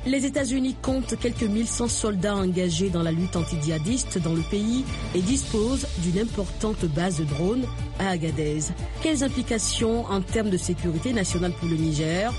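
Woman host reading over music. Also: on the low side. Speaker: radio host. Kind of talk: news promo reading. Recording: music bed underneath.

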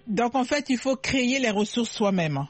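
This is a radio host running a call-in program. The host asks questions and thanks the callers in a polite, brisk manner. The voice is dry and close, with no room sound. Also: mid-range voice, expressive, husky, no echo.

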